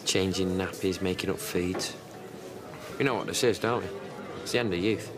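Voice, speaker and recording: An actor gives us a dry, blunt working-class man, his voice sitting low. He talks matter-of-factly about the life he is leaving behind. Music plays clearly under the scene. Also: normal speed, natural voice. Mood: wry. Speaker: soap opera actor. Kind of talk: television soap drama.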